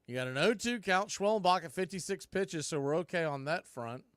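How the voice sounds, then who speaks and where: A man's voice: sits high, animated, fairly quick, a bit bright, dry recording. A man announcing baseball play-by-play in clipped, folksy phrases. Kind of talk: live play-by-play commentary.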